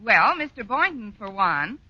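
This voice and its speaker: Wry radio schoolteacher, very animated. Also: female voice, mid-range voice, unhurried. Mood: stalling, wisecracking. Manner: wry, sarcastic, polite on the surface. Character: wry, quick-witted single woman schoolteacher, dryly wisecracking. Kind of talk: old radio situation comedy acting. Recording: dull, noisy vintage radio recording.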